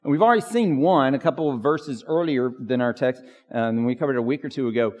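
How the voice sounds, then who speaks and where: Hoarse guy pastor leading prayer then preaching, mid pitch, long church echo. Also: fast talker, a little mellow, muffled recording. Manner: filler-heavy, restarts often. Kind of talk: prayer and sermon. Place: church sanctuary.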